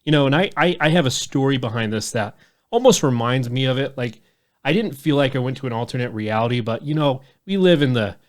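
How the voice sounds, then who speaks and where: A man's voice: medium pitch, lively intonation, fairly quick. A man in his thirties hosting a podcast, talking in a loose, rambling way and swearing freely. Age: 30s. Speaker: podcast host. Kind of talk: casual podcast chat.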